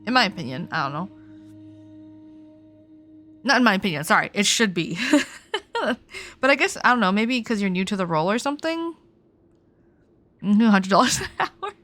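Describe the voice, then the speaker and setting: A woman's voice: mid-range voice, big pitch swings, moderate pace, pauses often, fairly bright tone. A young woman livestreaming a game, chatting casually and reacting in an informal, giggly, sweary way.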